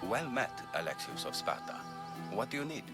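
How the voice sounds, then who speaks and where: An actor playing an earnest, humane middle-aged physician of ancient Greece in a video game, speaking in a measured, formal way. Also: male voice, medium pitch, wildly swooping pitch, music in the background. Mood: curious, approving. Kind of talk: cinematic video game acting.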